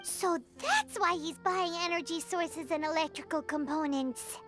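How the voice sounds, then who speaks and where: A woman voicing a small, chirpy, nosy fairy companion in a video game, with a squeaky childlike voice. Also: very animated, unhurried, exaggerated voice. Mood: eager. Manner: refers to self in third person, exclaiming. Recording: loud music under the voice. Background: game music.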